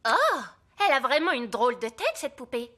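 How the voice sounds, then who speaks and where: An actress voicing a kindly but firm primary schoolteacher in a French cartoon, sing-song and patient. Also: female voice, high-pitched, very animated, moderate pace, pushed and bright, heavily acted. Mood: firm, amused. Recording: music behind the voice.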